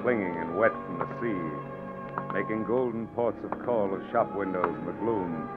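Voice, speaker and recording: A man narrating a 1940s radio drama in a solemn, portentous manner, with deliberate phrasing. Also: low-pitched. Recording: tinny 1940s radio recording, music behind.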